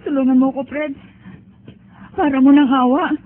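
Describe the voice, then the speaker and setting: A woman's voice: high-pitched, flat delivery, normal speed, close to an everyday voice. A woman playing a desperate runaway Filipina migrant maid in a radio drama, with an anxious, pleading manner. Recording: thin phone-like radio sound, music behind.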